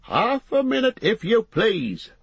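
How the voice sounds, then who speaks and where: A man's voice: high voice, lively intonation, a little slow, a bit bright, husky, no room sound, voice pushed up, exaggerated voice. A man voicing a fat, harried, talkative innkeeper in an audiobook, chattering breathlessly in rustic, folksy phrases. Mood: bustling, hearty. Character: fat, bustling, harried, talkative middle-aged male innkeeper. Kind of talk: audiobook character voice.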